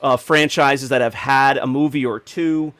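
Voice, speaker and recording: A man's voice: medium pitch, steady tone, unhurried, fairly bright tone, no echo. A man hosting a casual panel chat about his shared interests, talking enthusiastically. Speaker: podcast host.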